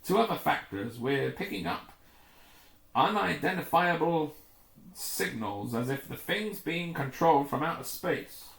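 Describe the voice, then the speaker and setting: A man's voice: on the high side, expressive, measured pace, vocal fry, a bit bright, reverberant, full character voice, rough recording. Man playing an urgent space station officer. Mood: tense. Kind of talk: children's sci-fi adventure story reading.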